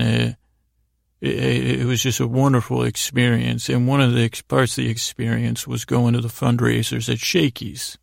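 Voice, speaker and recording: A middle-aged American man delivers a meandering bedtime monologue. His voice is full of gravelly fry and stays in a narrow, even range. He speaks haltingly, digressing and leaving thoughts unfinished, in a close, dry recording. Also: medium pitch, no room sound. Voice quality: gravelly, creaky voice. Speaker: podcast host.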